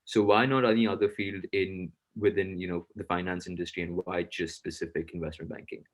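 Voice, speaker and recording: A man's voice: low voice, flat delivery, fairly quick, low-quality recording. A young man, a student, hosting a semi-formal interview and putting questions to his guest. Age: young adult.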